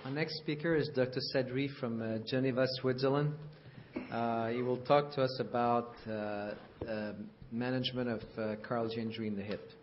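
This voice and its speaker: A man's voice: mid-range voice, steady tone, moderate pace, slight background noise. A man chairing a conference session, introducing the next speaker hesitantly with many fillers. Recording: dull hall recording, faint clicks.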